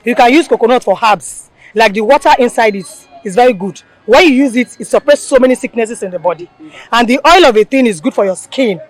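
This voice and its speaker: A woman's voice: a little high, expressive, a little fast. A woman from a women's forum, answering an interview firmly and with enthusiasm.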